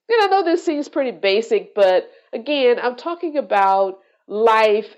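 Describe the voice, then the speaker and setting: A woman hosting a solo podcast, sounding congested and nasal from allergies, talking in a slow, reflective way. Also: mid-range voice, a little mellow, lo-fi sound. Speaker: podcast host.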